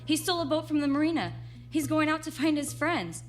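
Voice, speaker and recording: A woman's voice: high voice, animated, a little fast, faint music behind. A woman in a radio drama playing an anxious, pleading young graduate student. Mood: distressed.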